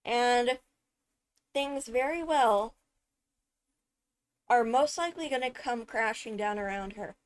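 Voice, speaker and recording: Woman doing fan commentary, highish voice, really slow and dramatic, lots of emphatic pauses. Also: clear, resonant tone. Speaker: video creator analysing a game character. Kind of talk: enthusiastic fan commentary, thinking aloud.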